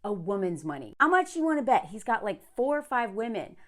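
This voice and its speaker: An American woman from the South talking to camera in a casual, emphatic rant, sardonic and quick to find things funny. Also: on the high side, sing-song. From southern United States. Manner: emphatic, sardonic, laughing often. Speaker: online content creator.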